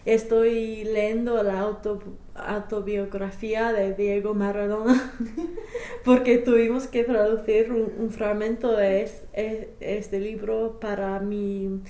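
Slow, hesitant young woman learner. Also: medium pitch, level tone, warm tone, echoey room. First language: English. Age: young adult. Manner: hesitant, many fillers and restarts, laughs shyly. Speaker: language learner interviewee. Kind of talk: spoken language interview.

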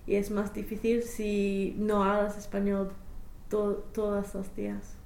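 A woman's voice: mid-range voice, monotone, slow pace, creaky, darkish tone, reverberant, slight background noise. A young woman, a native English speaker, answering interview questions as a language learner, hesitant with many fillers and restarts. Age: young adult.

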